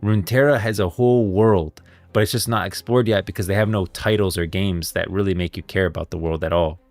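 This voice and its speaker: A man's voice: low-pitched, fairly even intonation, fairly quick, a little mellow, no room sound. A man hosting a livestream, chatting casually and thinking aloud to viewers in informal, slangy language. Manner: informal slangy talk addressing viewers as guys and bros. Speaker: livestreamer and host.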